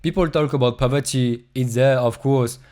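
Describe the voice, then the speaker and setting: Man, reflective voice-over, calm short phrases, monotone delivery, mid pitch. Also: normal speed. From Europe. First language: French. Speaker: travel vlogger. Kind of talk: reflective scripted voice-over narration.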